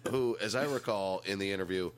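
A man's voice: deep voice, a little fast. A middle-aged man hosting a podcast in casual comedic chat, with wry mock-announcer asides, on a noisy recording. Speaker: podcast host.

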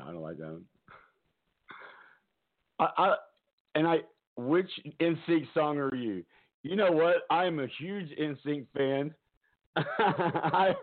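A man hosting a casual talk stream from home, loud-voiced, rambling and chatty, often laughing, talking into a headset. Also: fairly high pitch, narrow, tinny audio. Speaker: talk show host.

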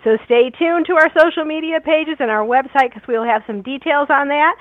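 A woman's voice: sits high, no echo. Upbeat woman reading radio announcements. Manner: upbeat, brisk promotional delivery. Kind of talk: reading station announcements on air. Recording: dull, lo-fi, dry sound.

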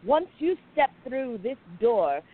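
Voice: medium pitch, very animated, slow pace. A performer playing a stern, officious gatekeeper of a secret society in a radio play, speaking formally and curtly. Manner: commanding, formal, curt. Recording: thin, phone-like band-limited audio.

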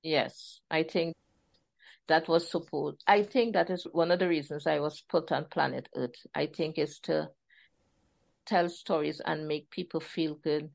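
A woman from Trinidad and Tobago, an author chatting in an interview, animated and acting out the voices she quotes. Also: low-pitched, measured pace, creaky. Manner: animated, laughing storyteller who acts out quotes. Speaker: author guest. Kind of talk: casual interview chat.

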